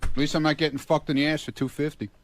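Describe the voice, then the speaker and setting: A man's voice: fairly high pitch, level tone, fast talker, no room sound. An older man on a radio show staff, giving curt, stubborn, blunt replies in casual banter.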